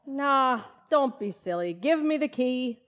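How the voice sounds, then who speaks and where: A woman playing a sharp, teasing, practical wife in an old-time radio comedy. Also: sits high, expressive, slow, full character voice. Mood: nosy, teasing. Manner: teasing, exclamatory.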